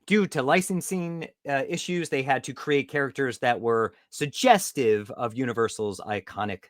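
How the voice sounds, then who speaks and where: A man's voice: mid-range voice, normal speed, no room sound, clean studio sound. A man hosting a podcast in a casual, bantering style, teasing and joking with his guest. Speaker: podcast host. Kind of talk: casual chat, film quiz banter.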